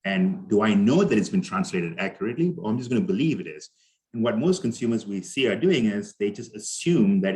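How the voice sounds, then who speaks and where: A middle-aged man with an Indian accent presents at a conference in a loose, conversational way, full of fillers and restarts. His voice sits a little low. He speaks over a video call. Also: poor audio.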